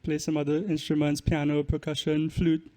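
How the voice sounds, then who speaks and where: A man's voice: on the high side, completely flat delivery, moderate pace, dark tone. A young man, a podcast guest explaining things in casual interview talk. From Trinidad and Tobago. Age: young adult. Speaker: podcast guest, musician and student.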